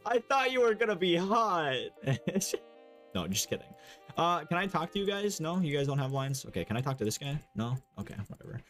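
A man's voice: on the high side, sing-song, a little fast, fairly bright tone, faint music behind. A young man chatting casually and rambling while playing a game on stream, swearing often, on a headset. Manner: rambling, swears, laughs a lot. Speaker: gaming streamer. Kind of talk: casual let's-play chat.

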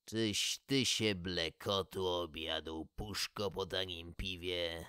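A man plays a huge woman made of stone in a storybook tale. The voice is low and gravelly, grinding out scornful words very slowly, with irritable curtness. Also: steady tone, bright, forceful tone, no room sound, clean studio sound, heavily acted. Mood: gruff annoyance. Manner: slow, curt, insulting.

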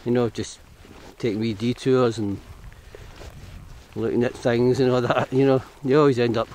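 A man's voice: mid-range voice, flat delivery, moderate pace, darkish tone, slight background noise. Scottish man, hiking vlogger, rambling casual commentary, camera mic outdoors. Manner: chatty, rambling, self-correcting. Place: outdoors on hill paths.

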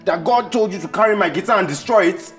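A woman's voice: medium pitch, fast, faint music behind, lightly acted. A woman playing a frustrated young son who pleads with his mother. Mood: pleading.

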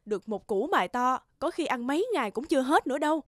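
A woman voicing a gentle, selfless middle-aged peasant aunt in a cartoon, soothing and maternal. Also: sits high, lively intonation, fast, dry recording, clean studio sound. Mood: reassuring. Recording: music behind the voice.

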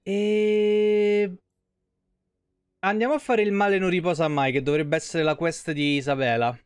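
Higher-pitched, clear-voiced man live streaming games. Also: fairly even intonation, unhurried, dry recording, clean studio sound. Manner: informal, swears freely. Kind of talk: casual live gameplay commentary with chat.

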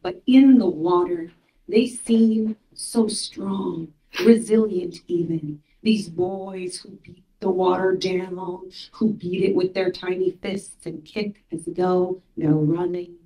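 Soft-spoken woman poet in roomy room. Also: fairly low pitch, fairly even intonation, takes it slowly. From Ukraine. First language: Russian. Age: 30s. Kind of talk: poetry reading. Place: small gallery room, standing. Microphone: mic on stand.

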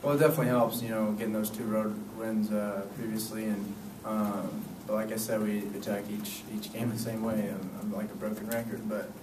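A man's voice: on the low side, a little fast, few pauses. A young man, a college basketball player, answering reporters' questions at a postgame press conference with lots of "um" and "you know". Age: young adult. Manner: relaxed, filler-heavy answers with many "um" and "you know". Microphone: table mic, picked up from a distance. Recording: echoey room, distant mic, noisy.

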